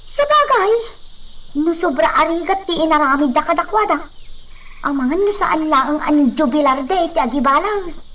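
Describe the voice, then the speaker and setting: A woman's voice: high voice, lively intonation, normal speed, fairly bright tone, a little hiss or hum. A woman acting a chatty, deferential maidservant in a radio drama, with an Ilocano Filipino accent. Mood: gossiping, concerned. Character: gossipy, deferential maidservant reporting to her mistress.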